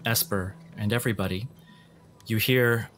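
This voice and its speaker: A man narrating a tabletop role-playing game as game master, setting scenes in a deliberate, descriptive way. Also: on the low side, fairly even intonation, a bit bright, a little hiss or hum.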